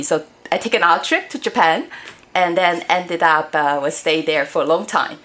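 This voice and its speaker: A woman's voice: lowish voice, fairly bright tone. A woman in her forties, from Taiwan and not an English speaker, talking friendly and hesitantly as an interview guest. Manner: friendly, hesitant, many fillers. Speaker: guest, robotics education director. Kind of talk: casual interview chat.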